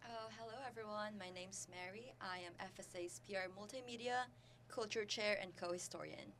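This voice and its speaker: A woman's voice: medium pitch, a little fast, fairly bright tone. A woman, chatting casually as a student interview guest. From Philippines. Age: early 20s. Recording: background noise with hum.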